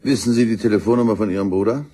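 An actor in a German crime radio play, playing a composed, patient police inspector with a terse, formal, polite manner. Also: male voice, fairly low pitch, rapid-fire, dark tone, husky. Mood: probing.

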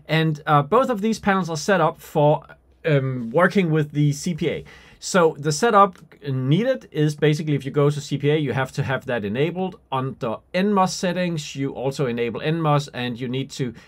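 Slightly high-voiced man demonstrating software. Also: animated. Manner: thinking aloud, halting while demonstrating. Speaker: product demonstrator. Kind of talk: unscripted software tutorial and product demo.

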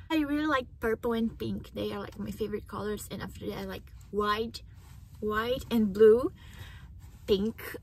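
Slow-talking teen girl, Portuguese accent. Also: high voice, low-quality recording. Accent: Brazilian Portuguese. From Japan. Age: teens. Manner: slow, searching for words, animated. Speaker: vlogger. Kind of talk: casual chat to camera. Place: parked car, indoors. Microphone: phone camera on dashboard.

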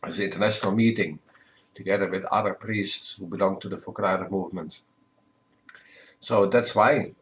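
Low-voiced older man, measured. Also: slight background noise, poor audio. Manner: careful, measured, with self-corrections. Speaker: priest, guest. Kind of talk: relaxed interview answers. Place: home living room. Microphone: webcam at desk.

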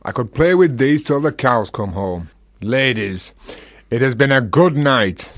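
Provocative Yorkshire freethinker. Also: male voice, medium pitch, lively intonation, unhurried, dry recording, clean studio sound. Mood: teasing, provocative. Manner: rhetorical, provocative. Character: talkative Yorkshire freethinker, teasing and philosophising. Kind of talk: radio play acting.

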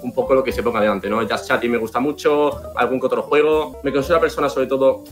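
A young man from talks quickly and confidently, his plain as he sells himself to a date. His voice sits at an ordinary middle pitch, with soft music playing faintly beneath the video-call audio. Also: fairly even intonation. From Spain. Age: 20s. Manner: fast, self-assured, chatty. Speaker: dating contestant. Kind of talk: casual self-introduction.

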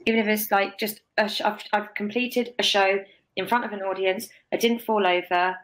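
A young woman, a guest telling emphatic, expressive stories over a video call from a bright room. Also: mid-range voice, normal speed, low-quality recording. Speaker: guest, stage performer. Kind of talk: casual interview.

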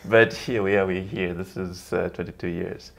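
A middle-aged man from Zambia with a low, gravelly voice. He talks casually and haltingly, full of ums, and laughs easily. He is recorded on a small mic in a room lined with books. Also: steady tone, fairly bright tone. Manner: hesitant, many ums, laughs often. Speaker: guest, English teacher. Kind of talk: casual interview talk.

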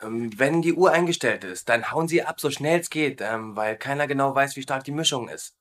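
An actor playing an eager, jittery, boyish soldier in a dubbed TV show. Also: male voice, medium pitch, moderate pace, fairly bright tone, lightly acted. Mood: eager, nervous. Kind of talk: dubbed TV acting.

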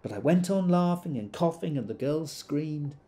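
A man's voice: on the high side, animated, moderate pace, warm tone, natural voice. Man acting a reflective, wistful storyteller. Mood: fond, reminiscent. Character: wistful man fondly recalling a childhood family game. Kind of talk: audio drama storytelling monologue.

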